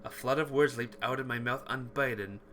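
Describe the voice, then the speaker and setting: A man reading a visual novel aloud as a playthrough host, narrating in an expressive, theatrical way. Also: medium pitch, brisk, noisy background. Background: music.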